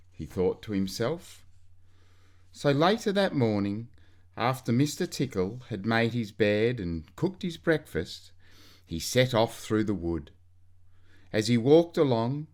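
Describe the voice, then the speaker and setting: A man reading a children's storybook aloud in an expressive way, drawing out key words for emphasis. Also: medium pitch.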